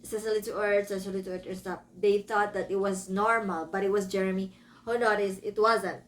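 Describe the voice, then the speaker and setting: A woman's voice: medium pitch, steady tone, fairly quick. A woman from the Philippines with a Filipino accent, speaking English as a second language, gives a casual book review, rambling and correcting herself as she retells a story. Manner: rambling, self-correcting, breaking off into asides.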